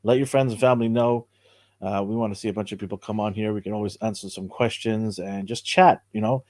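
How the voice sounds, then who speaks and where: A man hosting a casual live podcast, upbeat and chatty, his voice a bit hoarse from cheering. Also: on the low side, steady tone, a little fast, a little mellow, no room sound, studio quality. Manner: upbeat, chatty, thanks viewers. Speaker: podcast host. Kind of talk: casual live-stream chat.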